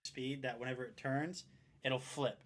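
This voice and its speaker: A man enthusiastically demonstrating and recommending a product, repeating himself in excitement. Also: normal speed. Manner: excited, repetitive pitching. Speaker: product reviewer.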